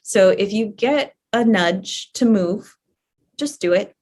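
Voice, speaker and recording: Gentle woman guiding yoga nidra relaxation. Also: medium pitch, lively intonation, slow pace. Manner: gentle, permissive, repeats phrases softly. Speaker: yoga nidra teacher guiding a live class. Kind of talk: guided relaxation instruction, conversational.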